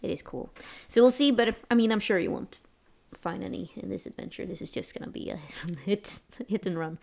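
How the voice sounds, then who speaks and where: A woman's voice: mid-range voice, fairly quick, gravelly, heavy background noise. A young woman from Sweden running a tabletop role-playing game as game master, chatting casually with frequent laughs and restarts.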